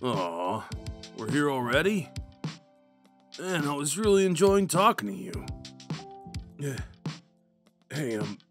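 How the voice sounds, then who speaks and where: Man acting a slow-talking drunk himbo jock. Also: a little high, big pitch swings, faint music behind, full character voice. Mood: flustered, hopeful. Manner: drunken, halting, goofy, rambling. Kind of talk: ASMR roleplay acting, speaking to the listener.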